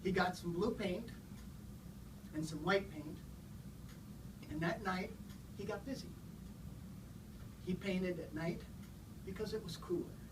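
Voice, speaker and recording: Distant, slow-talking man in his sixties. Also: high voice. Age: 60s. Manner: halting, unhurried. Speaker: guest storybook reader. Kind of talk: informal live introduction and children's storybook read-aloud. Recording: distant mic, noisy room ambience.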